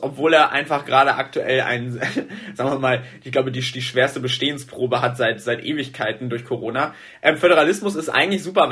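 Fast-talking husky young man. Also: medium pitch, fairly bright tone. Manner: fast, hesitant with many fillers and restarts. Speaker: guest, student representative. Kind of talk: interview answers.

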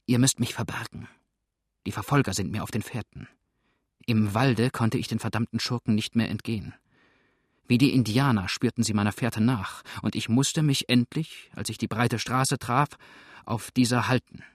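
A ruthless fugitive outlaw from an audiobook, played by a man, in a mid-pitched voice. He sounds hunted and menacing, grim and sneering, recorded very dry and close. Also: normal speed, dry recording, close to an everyday voice. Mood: urgent. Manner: grim, cursing, threatening, sneering. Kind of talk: audiobook character acting.

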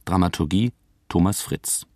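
Credits announcer: man, low-pitched, fry-laden voice, scripted radio delivery, close dry sound. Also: a little slow, darkish tone, dry recording.

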